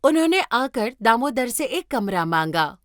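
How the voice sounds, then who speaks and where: A woman's voice: on the high side, very animated, unhurried, a bit bright. A woman narrating a scripted moral story.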